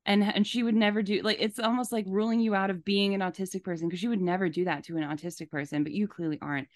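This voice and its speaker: A woman's voice: medium pitch, fairly even intonation, fast, no room sound, clean studio sound. A woman hosting a podcast, giving casual, conversational commentary. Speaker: podcast host.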